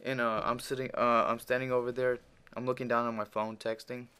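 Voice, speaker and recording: A man's voice: mid-range voice, flat delivery, brisk, clean tone. A teenage boy with a Russian accent telling a crude, profanity-filled story in a rambling way, with many fillers, while commenting over gameplay. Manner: grows louder and heated while retelling the argument. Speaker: gaming commentator.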